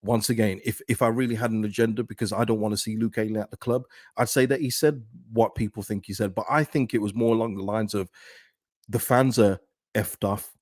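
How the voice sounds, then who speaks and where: A man with a deep, soothing voice hosting a football fan channel, chatting in a casual, rambling way as he talks with viewers. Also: steady tone, brisk, a little mellow, no echo, studio quality. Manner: conversational, rambling. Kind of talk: casual livestream chat, reading and answering viewer comments.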